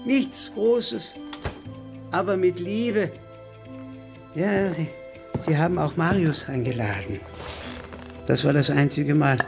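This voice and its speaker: An actor in a radio play playing a nervous, bitter violinist posing as his brother, with halting, stammering speech. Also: a little high, gravelly, soft background music. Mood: wistful. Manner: halting, stammering, self-interrupting.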